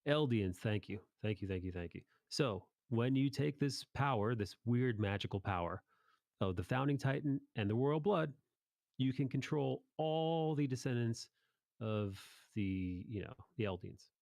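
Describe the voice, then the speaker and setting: A man's voice: medium pitch, animated, measured pace, gravelly, darkish tone, no echo. A man hosting a casual chat about anime, talking informally in rambling recaps with some swearing. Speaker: podcast host.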